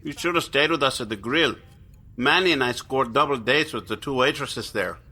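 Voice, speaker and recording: A man's voice: medium pitch, moderate pace, bright, forceful tone, husky, voice pushed up. A man voicing a sociable, brotherly young guy in an audio story, casual and slangy. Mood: chummy, teasing. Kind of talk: audio horror story character voice.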